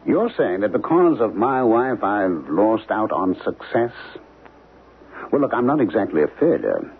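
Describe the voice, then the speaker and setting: An intense husband in a seventies radio drama, convinced he alone must save the world. His low voice swings widely as he insists and protests. The sound is narrow, like an old broadcast. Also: very animated, a little slow, slightly rough, heavily acted. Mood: defensive, indignant. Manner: insistent, earnest. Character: married man, obsessed would-be saviour of the world, defensive, intense. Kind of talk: 1970s radio drama acting. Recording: lo-fi 1970s radio broadcast, noisy.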